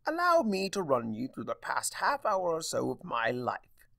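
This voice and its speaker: A man voicing a theatrical, sardonic, mischievous male chaos spirit with a wry, drawn-out delivery in a fan fiction reading. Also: mid-range voice, wildly swooping pitch, vocal fry, pushed and bright, no room sound, studio quality, heavily acted.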